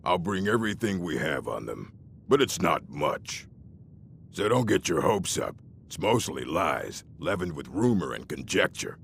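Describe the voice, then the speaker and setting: Casting: a grumbling, condescending old orc librarian for a fantasy game, extremely low, creaky and animated. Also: male voice, measured pace, slight background noise, full character voice. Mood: grudging. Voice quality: deep, gravelly. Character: gruff, curmudgeonly old orc college librarian, scholarly and protective. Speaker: game character. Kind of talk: video game voice acting.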